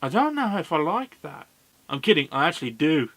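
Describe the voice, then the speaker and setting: A man's voice: a little high, very animated, fairly quick, a loud crowd. A man narrating a scripted comedic film review in a sarcastic, sweary manner. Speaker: film review video host.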